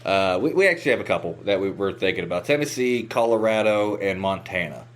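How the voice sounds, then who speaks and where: A man's voice: fairly low pitch, animated, fast. An American man from West Virginia hosting a podcast, casually telling anecdotes and acting out the dialogue as he goes. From West Virginia, United States. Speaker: podcast host.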